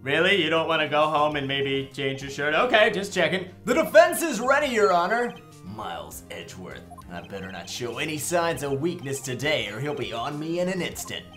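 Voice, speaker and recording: A man playing a wisecracking, sarcastic and excitable defense lawyer in a comic video game fandub. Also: fairly high pitch, normal speed. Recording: music behind the voice.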